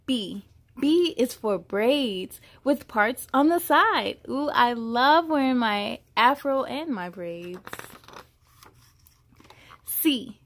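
A woman reading a children's picture book aloud, with encouraging, affirming casual comments addressed straight to young listeners. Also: a little high.

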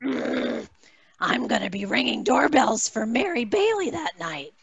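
A woman voicing a principled, long-suffering middle-aged housewife with a raspy voice in an amateur cartoon table read, on a headset. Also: fairly high pitch, very animated, measured pace, no room sound, lo-fi sound, exaggerated voice. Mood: firm.

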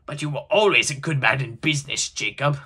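Man doing an old male miser, storybook character, faltering, deferential, businesslike yet uneasy, tense bright tone. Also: mid-range voice, a little fast, breathy edge. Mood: pleading. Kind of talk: dramatic storybook reading.

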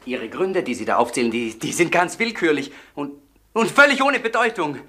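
A woman's voice: sits low, expressive, normal speed, fairly bright tone. An actress playing an anxious, loyal woman in a crime radio drama, protesting insistently with a stammer. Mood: desperate.

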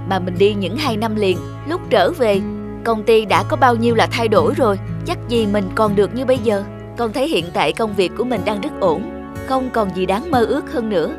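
A woman's voice: on the high side, natural voice. A woman playing a complacent young office-worker son in a cartoon, explaining himself with self-assurance. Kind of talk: cartoon dialogue.

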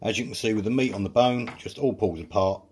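A man's voice: on the low side, fairly quick, a little mellow. Chatty man in a kitchen. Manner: chatty, self-mocking, explains each step. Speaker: home cook. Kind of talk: casual cooking narration. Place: small home kitchen.

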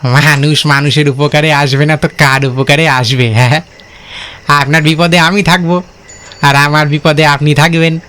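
A man's voice: on the high side, steady tone, moderate pace, bright, forceful tone. A man voicing a helpful, nervous village van driver in a cartoon, deferential and hesitant, repeating his words. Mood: warm, humble. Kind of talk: cartoon voice acting.

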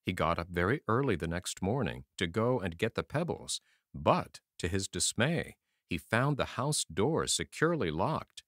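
A man narrating a fairy tale as an audiobook reader, in a measured, expressive storytelling manner. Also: low voice, no room sound, clean studio sound.

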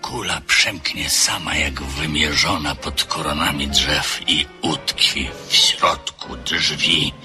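An actor playing a brooding older man telling his own story in a radio drama, slow and often sinking to a hushed whisper. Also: very deep voice, vocal fry, exaggerated voice.

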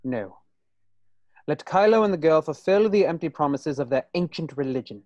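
A man's voice: a little high, animated, moderate pace, darkish tone, dry recording, lo-fi sound, natural voice. A man voicing a cold, scheming, haughty military villain in a fan table read of a film script. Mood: cold, imperious.

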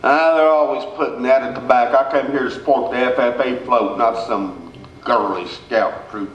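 A man's voice: medium pitch, normal speed, dull audio, natural voice. A man playing an impatient, goofy small-town country boyfriend in a comic radio play. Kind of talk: staged comic radio-show reading. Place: theater stage before an audience. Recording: distant, echoey hall with faint noise.

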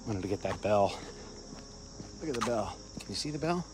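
An older man talks as he walks outdoors, holding his phone out at arm's length and rambling along with plenty of fillers and little chuckles. His voice sits a bit low. Faint crickets and insects hum behind him. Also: lively intonation, normal speed. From Cincinnati, Ohio. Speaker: vlogger. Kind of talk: casual walk-and-talk vlog. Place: outdoors on a suburban campus walk. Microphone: phone held at arm's length, wireless earbuds.

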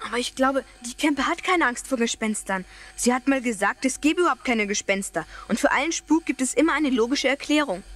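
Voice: sits high, lively intonation, brisk, hardly any pauses, a bit bright. A performer playing a friendly, earnest young schoolboy in a children's radio play. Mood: earnest, curious.

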